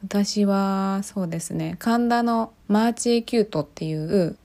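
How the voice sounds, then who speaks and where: A woman's voice: medium pitch, measured pace, a little mellow. A woman hosting a podcast on her own, chatting casually and often putting questions to her listeners. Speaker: podcast host. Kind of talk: casual solo podcast chat.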